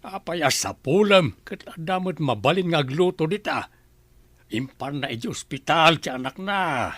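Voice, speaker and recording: Grumbling Ilocano husband, fairly high. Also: male voice, animated, moderate pace, fairly bright tone, no room sound. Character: grumbling husband with sore legs. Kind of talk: Ilocano radio drama acting.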